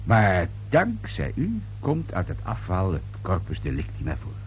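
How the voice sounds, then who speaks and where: A man's voice: deep voice, wildly swooping pitch, normal speed. An actor playing a shrewd, sardonic male police inspector in a radio play, probing in short dry phrases. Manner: probing, dry, clipped, repeats answers pointedly. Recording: old radio play recording with faint hum.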